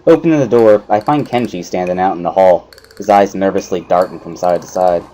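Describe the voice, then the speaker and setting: A man's voice: sits low, brisk, mellow. A man giving a dramatic first-person narration of a visual novel story. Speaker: narrator of a let's play dramatic reading.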